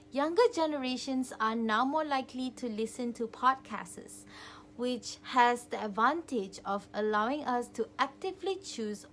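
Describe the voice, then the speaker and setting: A woman with a slightly high voice reads out a formal, scripted presentation in measured phrases. A light hum and noise sit behind her. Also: fairly bright tone, low-quality recording. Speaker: student presenter in a group presentation. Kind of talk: scripted informational narration, read out.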